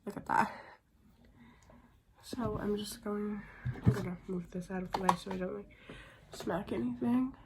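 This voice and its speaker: A young woman chatting casually through a makeup tutorial, murmuring and trailing off as she works, with a slightly raspy voice from getting over a sickness. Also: medium pitch, fairly even intonation, normal speed, pauses often, heavy background noise. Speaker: beauty vlogger.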